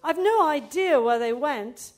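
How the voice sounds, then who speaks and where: A woman's voice: high-pitched, expressive, unhurried. A woman reading aloud the words of a desperate harlot pleading for her family's lives. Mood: urgent.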